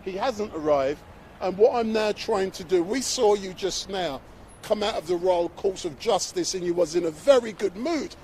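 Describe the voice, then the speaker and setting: Brief: high-pitched male street streamer, pushy interviewer, outdoor phone audio with light traffic noise. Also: expressive, a little mellow. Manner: brisk, interrupting, prompting the guest. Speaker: street livestreamer interviewing people. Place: outdoors on a city street outside a court. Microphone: handheld phone livestream.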